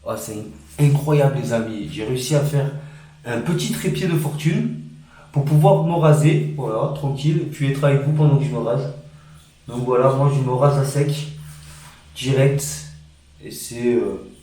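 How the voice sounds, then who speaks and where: A man's voice: mid-range voice, normal speed, warm tone. Echoey, distant man vlogging. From France. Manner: informal, earnest, chatty. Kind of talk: casual vlog chat. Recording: very echoey room, distant mic, faint noise.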